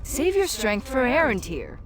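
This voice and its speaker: An actress voicing a seductive, scornful demoness in a video game, with a sultry, mocking delivery. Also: female voice, high-pitched, big pitch swings, a little slow, soft background music, heavily acted. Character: seductive, scornful demoness devoted to her master.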